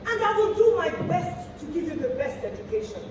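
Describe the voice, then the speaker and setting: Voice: very high voice, big pitch swings, fairly quick, recorded from a distance. An actor with a Nigerian accent playing a proud, encouraging parent in a stage play, recorded in an echoey, noisy theatre from afar. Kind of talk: projected stage acting.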